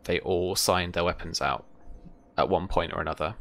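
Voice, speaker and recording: A young man runs a tabletop roleplaying session. His voice sits very deep, with a crisp, forceful edge and plenty of fry. Music plays behind him. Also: moderate pace. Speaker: game master. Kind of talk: running a tabletop roleplaying game. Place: indoor room at home, video call. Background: background music.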